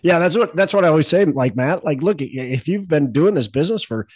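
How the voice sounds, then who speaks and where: A man's voice: on the high side, expressive, a little fast, darkish tone, husky, no echo, clean studio sound. A man hosting a podcast in casual chat, with a stuffy nose and a cough, rambling with many fillers and restarts. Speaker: podcast host.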